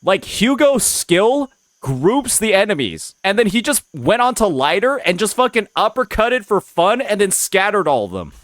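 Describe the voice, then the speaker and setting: A man's voice: high-pitched, sing-song, normal speed, a bit bright, no echo. A man streamer reacting to gameplay footage, mocking and exasperated, swearing often.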